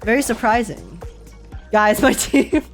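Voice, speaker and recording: A woman's voice: mid-range voice, sing-song, unhurried. A woman streamer giving casual, playful, giggly commentary as she reacts to a game. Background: game music.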